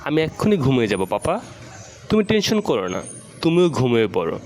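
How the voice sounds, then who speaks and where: Lively, earnest schoolboy son acted by a man. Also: medium pitch, fairly quick, slight background noise, lightly acted. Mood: polite, reassuring. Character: dutiful, affectionate schoolboy son. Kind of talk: amateur educational cartoon dialogue.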